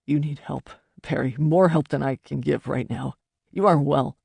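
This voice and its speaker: A reader voicing an angry young man who scolds and accuses in a low, clipped way, in an audiobook. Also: normal speed, gravelly, darkish tone, slightly rough, no room sound. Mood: scolding. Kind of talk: audiobook character voice.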